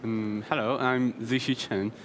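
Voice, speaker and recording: An eighteen-year-old man from China, a native Chinese speaker, answering haltingly and searching for words in English. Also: medium pitch, flat delivery, noisy background. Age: teens. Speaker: competition pianist guest.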